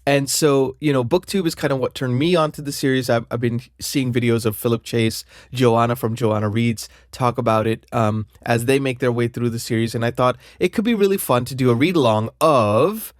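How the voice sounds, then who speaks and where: A man's voice: medium pitch, moderate pace, hardly any pauses, no room sound, studio quality. A man hosting a book channel, chatting casually and rambling with lots of filler words. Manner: rambling, many "kind of" fillers. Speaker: booktube channel host. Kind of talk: casual chat to camera.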